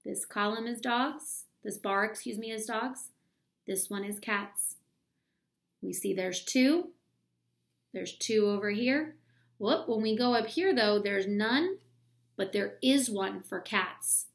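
A woman's voice: medium pitch, slow pace, vocal fry. A woman reads aloud to children as a teacher, explaining step by step and putting questions to them. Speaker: elementary school teacher reading aloud. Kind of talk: instructional read-aloud for children. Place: small room at home, indoors.

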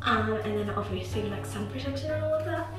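A woman's voice: fairly high pitch, lively intonation, fast, music in the background. A young woman in her twenties chatting candidly to a handheld camera in a casual vlog, with asides and self-corrections. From Australia. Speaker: vlogger. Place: home and around the city, indoors and out. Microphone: handheld camera close to the face.

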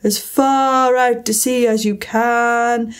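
A woman voicing a melancholy male turtle in a storybook, with a drawn-out, solemn delivery. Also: on the high side, fairly even intonation, clear, resonant tone. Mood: wistful excitement. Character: melancholy old male turtle, sobbing and nostalgic.

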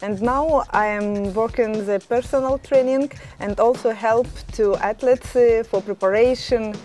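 A woman in her thirties from Estonia, speaking careful, halting as an interview guest outdoors on a terrace. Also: fairly high pitch, steady tone, darkish tone, faint music behind. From Estonia, born in Ukraine. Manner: halting, careful non-native English with many pauses. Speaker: interview guest, fitness champion. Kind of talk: casual interview answers. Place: outdoor terrace, hillside view.

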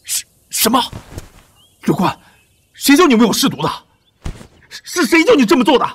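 An actor playing a proud, emotional prince regent of imperial China in an audio drama, dramatic and impassioned. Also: male voice, extremely high pitch, big pitch swings, normal speed, a bit bright, slightly rough, heavily acted. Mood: distraught. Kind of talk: Chinese historical audio drama acting. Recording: background music under the voice.

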